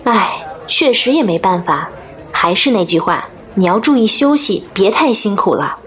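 A woman acting a caring, gently chiding young friend in a radio drama. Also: mid-range voice, expressive, normal speed, close to an everyday voice. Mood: concerned.

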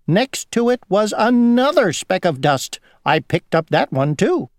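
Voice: mid-range voice, very animated, a little slow, dry recording, clean studio sound, pitched above the performer's own voice. A reader voicing an obsessively tidy housefly from a children's storybook, in a busy, insistent manner. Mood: fussy, earnest.

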